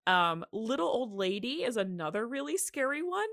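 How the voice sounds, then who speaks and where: A woman podcast host chatting casually in a halting, informal way, often dropping in "like" as she thinks aloud. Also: fairly high pitch, very animated, a bit bright, no echo, studio quality. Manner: halting, informal, filler-heavy with "like". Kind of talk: casual chat about horror radio.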